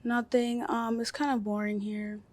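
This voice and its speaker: A teenage girl answers casually, using lots of "um" and "like," in a flat, level voice. The sound is dry and close. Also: a little high, moderate pace, no room sound. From Dominican Republic. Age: teens. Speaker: student interviewee. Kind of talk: casual, filler-heavy answers. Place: school studio.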